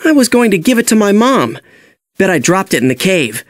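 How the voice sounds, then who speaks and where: A man voicing an excitable, easily spooked little boy fish in a boyish manner for a storybook. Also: high voice, fairly quick, no echo, pitched above the performer's own voice. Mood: dejected, spooked.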